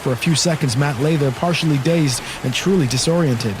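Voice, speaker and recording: A man's voice: a little high, a little fast, noisy background. A man narrating a scripted horror audio drama in a dramatic storytelling style. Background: storm rain sound effects and music.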